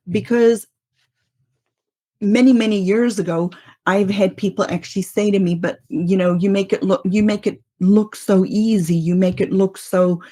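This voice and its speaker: A woman's voice: fairly low pitch, a little mellow. A woman in her fifties from South Africa, with a mixed international accent that keeps faint South African traces, hosting a teaching podcast in a conversational way, asking rhetorical questions and checking in with "right?".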